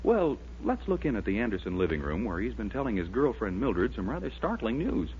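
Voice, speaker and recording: A man's voice: lowish voice, big pitch swings, a little fast, a little mellow, slight background noise. An American man announcing a 1940s radio show in a polished, emphatic scripted sales style. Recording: dull 1940s radio recording, music behind.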